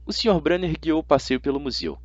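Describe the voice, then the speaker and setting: A man with a Brazilian accent reading a first-person youth fantasy novel as an amateur audiobook narrator, in an expressive, conversational storytelling way. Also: a little high, brisk, husky, dry recording, lo-fi sound.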